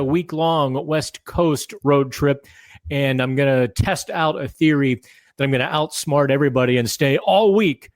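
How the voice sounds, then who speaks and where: A man hosting a casual solo podcast from a hotel room, slightly raspy and rambling, with fillers and restarts. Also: fairly high pitch. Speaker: podcast host.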